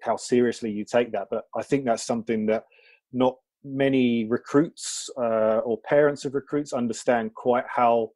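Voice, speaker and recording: A man's voice: mid-range voice, steady tone, normal speed, darkish tone, no echo. A man co-hosting a podcast, joking and rambling with filler phrases. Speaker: podcast co-host. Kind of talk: casual podcast interview.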